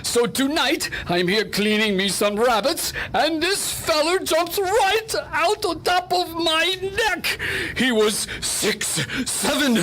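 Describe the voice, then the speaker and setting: A frightened, pleading Greek man in a radio play, acted by a woman. The voice is high and drawn out, halting and whiny, over faint background noise. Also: a bit bright, heavily acted. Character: frightened, blubbering Greek restaurant man pleading self-defence. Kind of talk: radio drama acting.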